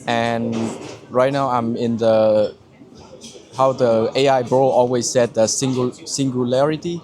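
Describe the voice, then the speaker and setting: A man's voice: mid-range voice, fairly even intonation, measured pace, a little mellow. A man, giving a candid testimonial with frequent restarts as he searches for words. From Vietnam. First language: Vietnamese. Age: 20s.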